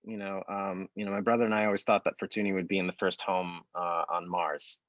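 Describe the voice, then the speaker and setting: A man's voice: deep voice, level tone, fairly quick, a bit bright, no room sound, dull audio. A man from the United States, a creative director, talking earnestly in a casual panel conversation and searching for his words.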